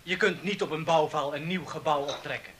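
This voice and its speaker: A man's voice: on the high side, a little fast, a crowd in the background, close to an everyday voice. An actor playing an outspoken young man who challenges his elders, in a 1960s Dutch radio play. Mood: defiant. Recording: 1960s radio recording with background music.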